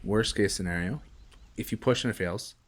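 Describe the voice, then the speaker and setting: A man acting as game master, improvising horror tabletop narration in a halting, casual way full of filler words. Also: on the low side. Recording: music behind the voice. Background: background music.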